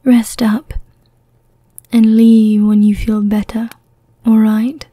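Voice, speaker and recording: Woman playing a breathy, slow-talking vampire seductress. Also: a little high, little pitch movement, warm tone, dry recording, pitched below the performer's own voice. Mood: calm, merciful. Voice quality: breathy, whispery. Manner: slow, teasing, deliberate. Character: seductive, menacing yet merciful female vampire. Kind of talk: ASMR roleplay acting.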